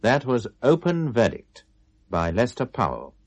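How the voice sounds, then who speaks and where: A man's voice: medium pitch, very animated, unhurried, no echo. A man with a British accent reading scripted credits formally as a radio announcer.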